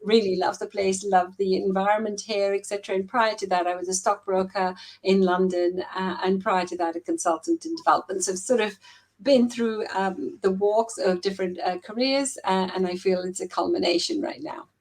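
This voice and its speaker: A woman's voice: mid-range voice, normal speed. Upbeat woman careers head on a call. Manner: upbeat, with fillers.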